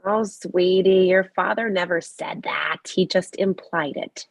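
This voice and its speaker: A woman playing a blithely judgmental, chatty middle-aged mother with a slightly husky voice in a comedy read. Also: on the low side, unhurried. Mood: blithely needling. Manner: breezy, needling. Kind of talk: comedy script table read.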